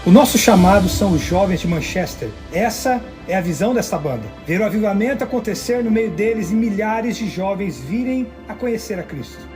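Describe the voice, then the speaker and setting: A man's voice: high-pitched, lively intonation, fairly quick, hardly any pauses, music in the background. A man giving an earnest testimony in a ministry video.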